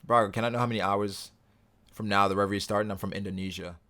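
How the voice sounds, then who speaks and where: A young man with a fairly low voice hosts a laid-back livestream, reading out chat comments and answering them as he goes. He rambles a little and keeps the tone easygoing. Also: rapid-fire. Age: young adult. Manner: relaxed, rambling, reads viewer comments aloud.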